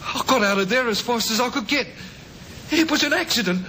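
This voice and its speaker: High-pitched little crook. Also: male voice, expressive, a little fast, bright, forceful tone, exaggerated voice. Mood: anxious, confessing. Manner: agitated, slangy, stammering. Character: small-time crook, cornered, defiant and pleading. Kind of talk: 1950s radio drama acting. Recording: old radio recording with noise, rumble.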